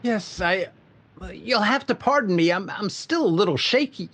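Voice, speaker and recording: An actor playing a shaken factory owner in a 1940s-style radio drama, talking in a hesitant, stammering way. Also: male voice, high-pitched, animated, moderate pace, a bit bright, full character voice. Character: shaken middle-aged male factory owner robbed of payroll.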